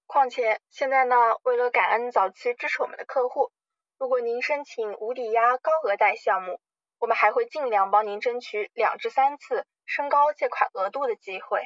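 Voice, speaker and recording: A woman playing a smooth-talking, scheming loan company manager with polite, formal sales patter in an amateur radio drama. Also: fairly high pitch, moderate pace, dry recording, lo-fi sound, lightly acted. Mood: persuasive, reassuring.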